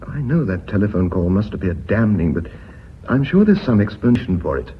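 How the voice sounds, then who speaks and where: An actor playing an earnest, loyal company secretary in a radio drama, polite, precise and persuasive. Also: male voice, on the low side, big pitch swings, brisk. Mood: earnest pleading. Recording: muffled, hissy old radio recording.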